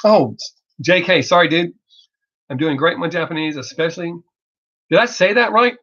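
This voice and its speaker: A man's voice: on the high side, fairly bright tone. A middle-aged man with a Southern American accent and a drawl he talks over, chatting casually and bluntly on a livestream, rambling and joking. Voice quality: Southern drawl masked by fast talk. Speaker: reaction video creator hosting a live stream. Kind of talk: casual livestream chat, off the cuff. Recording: dull lo-fi livestream audio.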